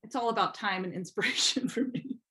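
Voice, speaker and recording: A woman's voice: medium pitch, brisk, pushed and bright. Casual, self-deprecating woman chatting. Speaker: podcast guest, fan fiction writer. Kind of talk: casual conversational interview.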